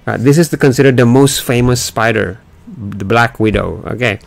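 A man's voice: mid-range voice, moderate pace, gravelly. A middle-aged man in a casual read-aloud chat with children, encouraging them, repeating things and prompting with questions. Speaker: host and parent reading with children. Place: small bedroom, indoors. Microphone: desktop condenser microphone.

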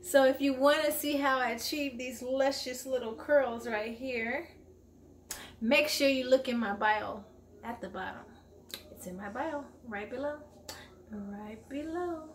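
A woman's voice: high-pitched, measured pace. A woman in her forties, chatting upbeat to her viewers in a casual grocery haul vlog, filmed at arm's length in her home kitchen. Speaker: vlogger. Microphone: camera at arm's length. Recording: echoey kitchen, faint room noise.

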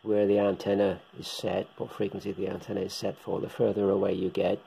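A man giving a casual, hands-on equipment demonstration as a hobbyist presenter, talking unhurriedly in short, halting bursts. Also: low voice, flat delivery, gravelly, mellow, husky, low-quality recording. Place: indoors, room with radio gear on a shelf.

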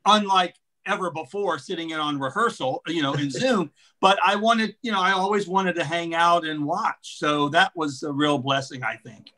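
A man's voice: fairly high pitch, moderate pace, hardly any pauses, pushed and bright. An older man chatting casually and enthusiastically in a rambling way on a video call. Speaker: amateur character actor. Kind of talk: casual chat. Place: indoor room at home. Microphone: computer on a video call.

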